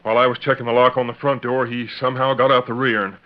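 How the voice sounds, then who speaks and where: A man's voice: medium pitch, level tone, fast, close to an everyday voice. A man playing an earnest, folksy deputy in an old-time radio western, with colloquial, pleading talk. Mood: apologetic. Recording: muffled vintage radio recording.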